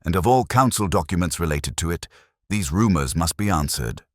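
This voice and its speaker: A man voicing an indignant, demanding woman who presides over a parish committee, forceful and snappish. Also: low voice, very animated, moderate pace, no echo, studio quality.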